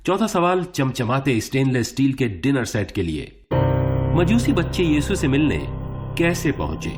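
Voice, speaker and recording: A man playing a lively, dramatic quiz show host. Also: mid-range voice, normal speed, lightly acted. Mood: upbeat, suspenseful. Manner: theatrical, suspense-building announcing. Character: suave adult male quiz show host, dramatic and encouraging. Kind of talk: radio play quiz show presenting. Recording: music bed under the speech. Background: music.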